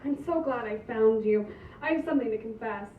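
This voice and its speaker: A woman's voice: a little high, brisk, close to an everyday voice. A woman reading the part of an earnest, sheepish woman confessing to her ex at a comedy script table read. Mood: earnest, apologetic. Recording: muffled, noisy, echoey far-off room recording.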